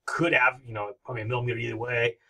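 A man's voice: medium pitch, flat delivery, rapid-fire, bright, forceful tone. A middle-aged man giving a recorded history lecture from his home office, talking in a rambling, enthusiastic way with many fillers. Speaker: history professor.

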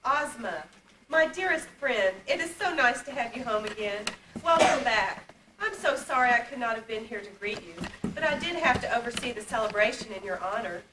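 A woman's voice: fairly high pitch, animated, moderate pace, close to an everyday voice. A woman playing a sweet, earnest little girl in an amateur puppet show, speaking politely. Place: live puppet show at a convention. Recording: echoey, noisy camcorder from far off.

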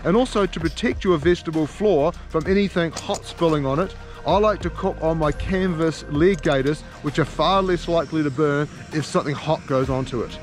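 A man's voice: fairly high pitch, a little fast, faint music behind. A man, giving encouraging camping tips to camera outdoors by his tent. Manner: upbeat, encouraging, direct to camera. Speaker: outdoor channel host. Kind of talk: instructional outdoor vlog. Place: outdoors on a mountain meadow by a tent. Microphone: camera at arm's length.

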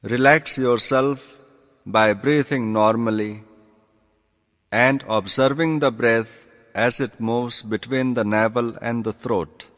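A male yoga teacher guides a meditation in a calm, deliberate manner. His pitch sits in the middle range and he speaks very slowly, in short measured phrases. The recording carries a long, hall-like reverb.

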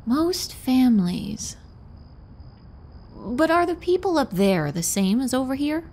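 A woman's voice: high voice, big pitch swings, takes it slowly, a little mellow, heavily acted. An actress playing a blunt, world-weary woman in an audio drama, dry and frank. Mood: wry.

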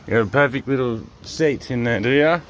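A man's voice: mid-range voice, fairly quick, slight background noise. A man with an Australian accent, a father casually chatting and teasing his sons while filming outdoors on a handheld phone. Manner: relaxed, teasing, easygoing dad talk. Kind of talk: casual family vlog chat. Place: outdoors in seaside sandstone caves. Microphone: handheld phone camera.

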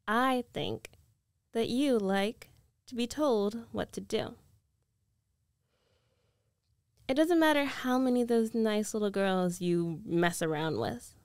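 A woman acts out a rich, domineering ex-girlfriend in a girlfriend ASMR roleplay. She speaks slowly and teasingly, sure of herself and possessive, in a very dry, close-sounding recording. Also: on the high side, a little mellow, no echo. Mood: defiant, assertive. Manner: slow, coaxing, self-assured, teasing.

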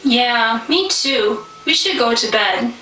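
A trusting, timid young daughter in a puppet play, played by a woman. The voice is childlike, bright and unhurried. It carries from far off with echo. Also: a little high, expressive, soft background music, full character voice. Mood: obedient. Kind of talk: puppet show stage acting. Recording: echoey room from far off, faint noise.

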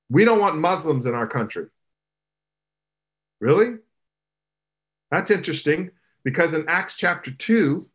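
A middle-aged man, a pastor, speaking emphatically in an animated panel discussion over a video call from his home. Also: on the high side. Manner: emphatic preaching cadence, gestures broadly. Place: home room, indoors. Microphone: video call, webcam from below.

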